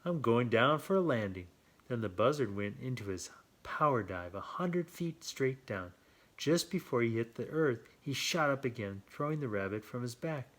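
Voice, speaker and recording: A man reading a children's story aloud with playful, drawn-out emphasis and chatty asides. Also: mid-range voice, lively intonation, fairly bright tone. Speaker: children's story reader and host.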